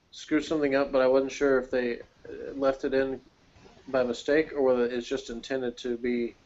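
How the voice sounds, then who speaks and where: A man's voice: mid-range voice, darkish tone, dull audio. A man co-hosting a show in casual conversation, rambling along in an easygoing way. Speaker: podcast co-host.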